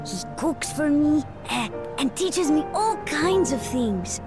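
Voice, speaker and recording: A shy young boy in a video game. The voice is high and slow, with simple, hesitant, earnest childlike phrasing over clear background music. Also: lively intonation. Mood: earnest, fond.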